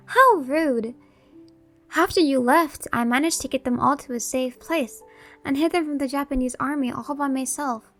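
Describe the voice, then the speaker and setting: Woman playing a high-voiced, teasing ageless shaman woman. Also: measured pace, fairly bright tone, soft background music. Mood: breezy, assertive. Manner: teasing, dramatic. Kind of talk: horror-comedy audio drama acting.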